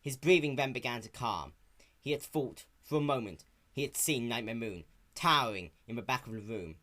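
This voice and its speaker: Bright, animated man reading a story. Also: mid-range voice, moderate pace. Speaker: narrator of a fan fiction reading. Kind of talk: dramatic scripted story narration.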